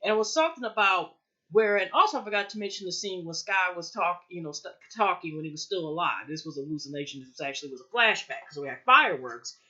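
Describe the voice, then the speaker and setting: A woman's voice: lowish voice, lively intonation, fast, sharp tone, highs cut off. A woman recapping a TV episode in a loose, rambling way, with lots of fillers and restarts. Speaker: TV show reviewer.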